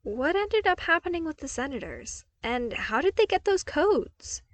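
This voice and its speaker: A woman plays a cheerful young male apprentice in an audio story. The voice lifts high and sounds earnest and curious, with casual, open phrasing. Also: big pitch swings, normal speed, no room sound.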